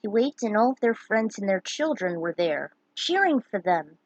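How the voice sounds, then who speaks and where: A woman reading scripted fan fiction narration aloud, in a slightly halting manner. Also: mid-range voice, sing-song, dry recording, rough recording.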